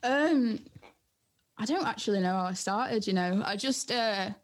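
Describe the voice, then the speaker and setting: A young woman with a Geordie accent speaks in a mid-pitched voice. She chats as a guest in an interview, telling stories in loose, slangy, informal language. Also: measured pace. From North East England. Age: young adult. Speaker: DJ and music producer being interviewed. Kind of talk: casual chat.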